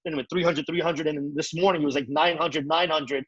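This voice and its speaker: A man giving an energetic, repetitive motivational training talk as a network marketing trainer. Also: fairly high pitch, fast talker, no room sound, muffled recording. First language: English.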